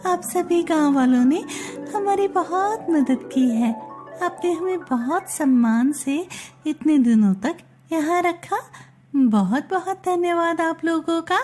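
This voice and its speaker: Casting: woman as young daughter-in-law, high, earnest, pleading, unhurried, for comic storybook family drama. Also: lively intonation, a little mellow, faint music behind, exaggerated voice. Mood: grateful.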